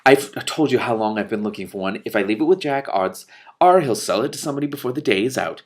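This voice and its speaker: A man's voice: mid-range voice, expressive, fast, natural voice. A man voicing a pedantic, easily miffed but curious magician in a storybook, with wordy, formal phrasing. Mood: brisk, insistent. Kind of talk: expressive audiobook-style character reading.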